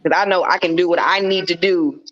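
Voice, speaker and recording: An American woman with a deep voice, talking bluntly and swearing freely in a casual panel discussion. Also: steady tone, brisk, fairly bright tone, no room sound. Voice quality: deep, bassy. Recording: slightly dull, lo-fi, dry sound.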